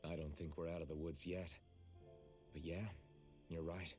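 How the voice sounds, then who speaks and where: Male actor as caring game swordsman, low voice, calm, reflective, steady, music behind. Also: normal speed, natural voice. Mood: reassuring, resolute. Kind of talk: video game English voice acting.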